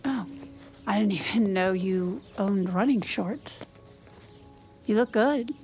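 A woman's voice: mid-range voice, takes it slowly, a little mellow, faint music behind. An actress playing a guarded, weary, dry-witted wife and mother, giving clipped, deflecting answers in a naturalistic audio drama. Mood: surprised, probing.

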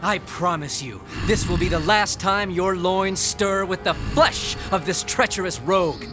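A man's voice: sits high, measured pace, music in the background. A man playing a vengeful, melodramatic gothic villain in a horror audio drama, with pompous, theatrical speech. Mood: cold menace. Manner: pompous, theatrical, archaic diction.

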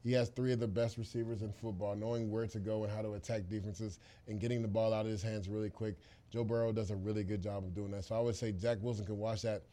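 A man's voice: on the low side, level tone, fast, few pauses, dark tone. A man co-hosting a football podcast, giving emphatic, opinionated analysis in a casual, conversational way.

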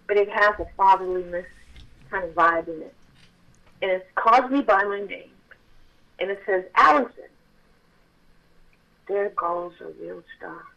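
A middle-aged woman with a childlike voice, telling her story as an interview guest and acting out the dialogue with sudden jumps in pitch. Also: poor audio. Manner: excitable, reenacts dialogue with big pitch jumps.

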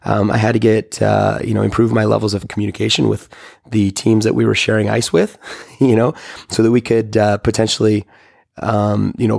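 Hoarse hockey coach guy thinking out loud, raspy and lowish, lots of ums and restarts. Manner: rambling, many fillers and restarts. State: hoarse, recovering lost voice. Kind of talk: casual reflective monologue.

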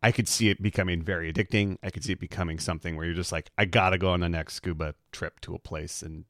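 A man's voice: deep voice, steady tone, quick pace, fairly bright tone, no echo, clean studio sound. A man co-hosting a podcast in casual conversation, musing in a rambling way. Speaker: podcast co-host. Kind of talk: casual chat.

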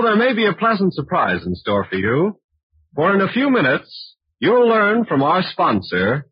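A man announcing in a formal, polished, scripted 1940s radio style, pitching for a sponsor. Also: breathy edge, no echo. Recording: dull old radio broadcast recording.

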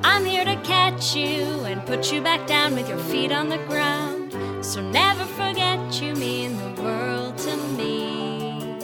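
An actress playing a loyal, devoted best friend who cheers someone up in half-sung musical theatre style. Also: female voice, high voice, animated, slow pace, hardly any pauses, loud music behind the voice. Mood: reassuring.

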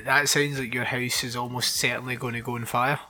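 A man co-hosting a podcast, teasing his co-host with quick asides and laughing as they chat. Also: mid-range voice, little pitch movement, over music. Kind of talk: casual chat.

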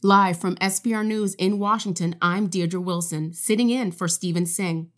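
An actress playing a composed, serious public radio news anchor in an audio drama. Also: female voice, on the low side, fairly even intonation, moderate pace, soft background music, close to an everyday voice.

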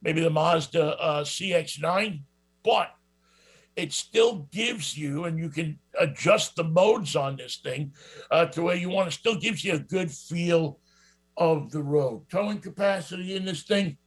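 A man's voice: fairly high pitch, measured pace, husky. A middle-aged man with a New York accent, hosting a radio show and reviewing a car in a rambling, casual way with lots of fillers. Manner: rambling, many fillers and restarts.

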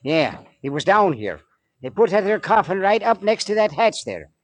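A husky, fairly high-voiced rustic stowaway with a drawl. He is a curious, sly old cuss who talks in loose colloquial turns, in the manner of forties radio drama. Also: very animated, normal speed, no echo. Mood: chatty, amused. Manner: folksy, drawling colloquial talk. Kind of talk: 1940s radio acting.

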